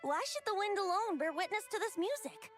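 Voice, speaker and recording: A woman playing a youthful, androgynous bard in an anime game, playful and gentle, with a lilting storyteller's delivery. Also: very high-pitched, animated, a little fast, music in the background. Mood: gentle, inviting.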